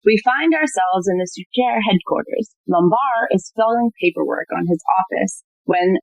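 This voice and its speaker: A woman's voice: mid-range voice, normal speed, low-quality recording. A woman reading scripted narration and stage directions for an audio play.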